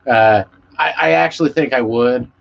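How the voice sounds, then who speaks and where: Low-voiced man rambling casually on a muffled stream. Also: moderate pace, creaky. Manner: laid-back, rambling, swearing. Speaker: live stream host; musician. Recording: muffled lo-fi stream audio with background noise.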